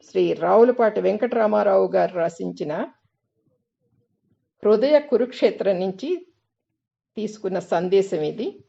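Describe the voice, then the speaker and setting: A woman reading a scripted spiritual essay as narration, with measured, deliberate phrasing and pauses. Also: mid-range voice, vocal fry, dark tone, muffled recording. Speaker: reader of literary excerpts.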